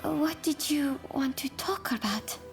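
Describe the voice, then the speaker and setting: Soft, high-voiced samurai's widow. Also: female voice, faint music behind. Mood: warm, shy. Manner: soft, breathy, formal and measured. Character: gentle, earnest widow of a samurai in old Japan. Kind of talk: dramatic video game cutscene acting.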